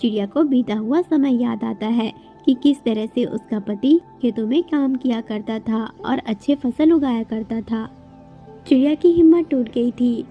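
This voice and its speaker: A woman's voice: fairly high pitch, a little slow, highs cut off, faint music behind. A woman giving scripted narration for a children's cartoon story.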